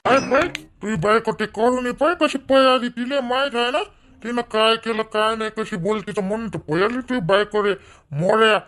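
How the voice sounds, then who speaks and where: A man's voice: high voice, normal speed, fairly bright tone. A man voices a drunk, quarrelsome village elder brother in a cartoon, rambling and challenging in a Vidarbha Marathi accent. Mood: belligerent.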